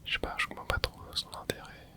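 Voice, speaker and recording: Close, soft ASMR whisper. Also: fast. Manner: soft unvoiced whisper throughout; casual, rambling, hesitant with many fillers. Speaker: ASMR gaming channel host. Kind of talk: whispered ASMR gameplay chat. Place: at home playing a video game. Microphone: close mic.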